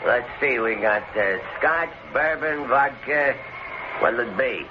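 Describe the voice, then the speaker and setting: An actor playing a menacing, crude stranger in an old-time radio thriller, mocking and bossy, with slow insinuation. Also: male voice, medium pitch, lively intonation. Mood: leering, mocking. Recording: muffled, very noisy old radio recording.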